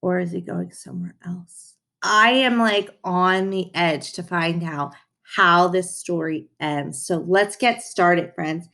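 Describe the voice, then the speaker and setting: A woman reading a children's storybook aloud in an expressive manner, addressing young listeners. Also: on the low side, takes it slowly, vocal fry.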